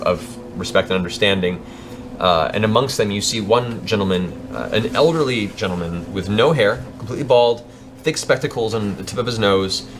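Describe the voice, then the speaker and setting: A man working as game master, improvising descriptive, dramatic fantasy narration for a tabletop game. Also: fairly low pitch.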